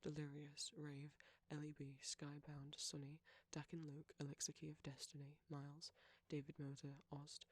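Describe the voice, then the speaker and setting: Male audio creator for a read-out sign-off; soft, husky, measured, fairly flat. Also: a little high, dry recording. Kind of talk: scripted outro and thank-you list.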